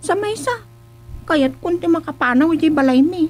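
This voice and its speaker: A woman's voice: sits high, lively intonation, normal speed, a little mellow. A woman with an Ilocano accent acting a young, naive village sweetheart, plaintive and bashful, in a comedic radio drama.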